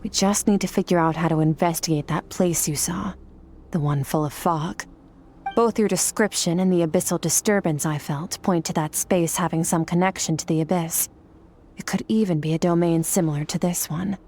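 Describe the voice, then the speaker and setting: A woman's voice: lowish voice, steady tone, normal speed, darkish tone, slight background noise, close to an everyday voice. A woman playing an aloof, curt mentor. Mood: explaining. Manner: cool, curt and businesslike. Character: aloof, cool-headed woman mentor from the Abyss. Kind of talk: video game voice acting.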